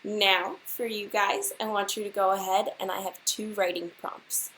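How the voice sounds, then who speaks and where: A woman reading a children's storybook aloud like a teacher to a class, expressively and deliberately, putting questions straight to her young listeners. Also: mid-range voice, fairly even intonation, a bit bright, a little hiss or hum, poor audio.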